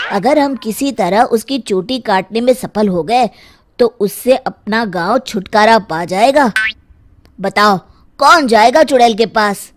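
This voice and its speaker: Woman voicing a resolute villager, slow and earnest. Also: medium pitch, natural voice. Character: resolute, brave village man explaining a plan. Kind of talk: children's story dialogue.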